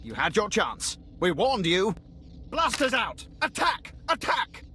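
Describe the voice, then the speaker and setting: An actor playing a stern, rule-bound soldier on guard in a video game, curt and official. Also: male voice, high-pitched, huge pitch swings, slow, sharp tone, slight background noise, full character voice. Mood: stern, alarmed. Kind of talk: video game character acting.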